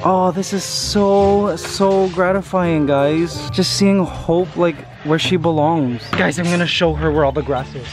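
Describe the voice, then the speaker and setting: A man in his thirties vlogging on a farm, excitable and chatty, cooing at a chicken in baby talk from a handheld camera. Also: a little high, measured pace, few pauses, over music. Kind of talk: casual vlog. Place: outdoors on a farm.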